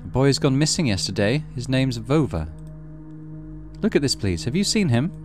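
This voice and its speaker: A grim senior policeman from a horror game, read by a man. He is serious and insistent, speaking with lively pitch over faint music. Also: moderate pace, close to an everyday voice.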